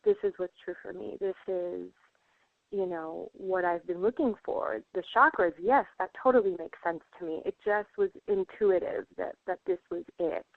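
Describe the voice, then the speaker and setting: A woman's voice: on the low side, moderate pace, creaky, dry recording. A woman from Puerto Rico, a guest healer on a radio interview, talking in an earnest, reflective way with many fillers. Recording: tinny phone line.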